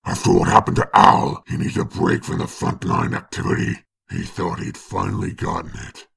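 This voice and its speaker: Deep, heavily gravelly male narrator. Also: huge pitch swings, moderate pace, a bit bright. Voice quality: very gravelly, breathy rasp. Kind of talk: dramatic scripted comic narration.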